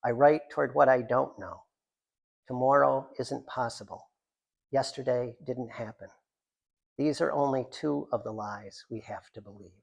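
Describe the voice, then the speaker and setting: A man with a noticeably gravelly, creaky voice at an ordinary middle pitch gives a live literary reading of his own writing. He slips into rambling, self-deprecating asides with a joking manner. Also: normal speed, dull audio. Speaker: writer reading from own work.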